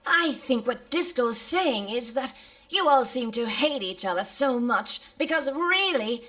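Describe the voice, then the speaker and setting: An earnest female spaceship captain, high and bright, placating people with slow, deliberate phrasing. It is sci-fi radio comedy. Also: animated, full character voice.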